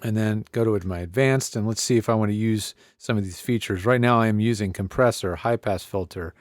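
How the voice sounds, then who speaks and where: A man's voice: lowish voice, a little fast, dry recording. A man giving a casual tech tutorial in his home studio, talking into a condenser mic on a desk arm and counting off mic checks. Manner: relaxed, chatty, frequent mic checks and counting. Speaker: tech reviewer. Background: faint computer hum.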